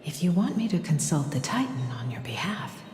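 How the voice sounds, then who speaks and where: A woman playing a gentle, loving mother in a dramatic game cutscene, speaking tenderly in a slow, hushed, breathy voice. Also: sits low, big pitch swings, slight background noise. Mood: tender, soothing. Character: gentle, loving mother and holy maiden soothing her child. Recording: echoey long reverb under clear music.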